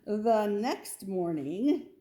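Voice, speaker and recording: Slow-paced woman reading a children's story. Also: medium pitch, very animated, mellow. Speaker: picture-book reader. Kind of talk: read-aloud storytelling for children.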